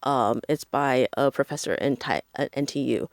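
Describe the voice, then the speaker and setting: A woman's voice: rumbling low, moderate pace, no echo, studio quality. A woman from Taiwan, an English teacher, talking casually as a podcast guest and acting out the voices she quotes. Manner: animated, acts out quoted voices.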